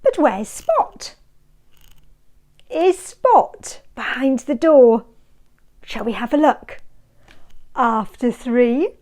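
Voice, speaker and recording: Woman, storybook reader, very slow, high voice, swooping sing-song, hushed counting. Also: sing-song. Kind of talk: reading a picture book aloud to small children.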